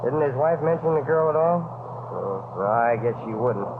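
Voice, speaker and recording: Casting: male actor as ordinary American working-man witness, mid-pitched, halting and folksy, old radio crime drama. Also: lively intonation, close to an everyday voice. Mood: hesitant, gossiping. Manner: folksy, halting, clipped phrases. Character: plain-spoken working man, shop owner, hesitant witness. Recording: dull, noisy old radio recording.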